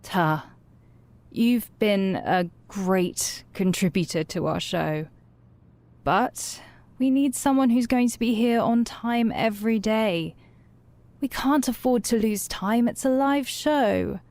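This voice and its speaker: An actress playing a composed, unbending boss in an audio drama, firm and matter-of-fact. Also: female voice, a little high, takes it slowly, a little mellow. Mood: firm, dismissive.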